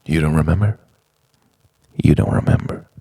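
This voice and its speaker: A man narrating an introspective spoken-word monologue in halting, musing phrases full of rhetorical questions. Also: very deep voice, dark tone, husky, no echo. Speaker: narrator of a personal art film.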